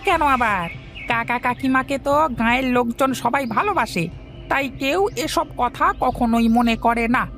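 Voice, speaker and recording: Cartoon village friend female actor as a lad: high-pitched, sly gossip, insinuating, music bed. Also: a little slow, a bit bright. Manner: insinuating, chatty. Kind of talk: Bengali fairy-tale cartoon dialogue.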